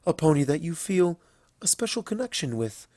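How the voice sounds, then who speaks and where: A man voicing a smugly affectionate elder pony princess in a story reading, with a playful, drawn-out, knowing delivery. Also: on the high side, sing-song, dark tone, no echo, clean studio sound, voice pushed up. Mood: smug, teasing. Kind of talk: fan fiction story reading.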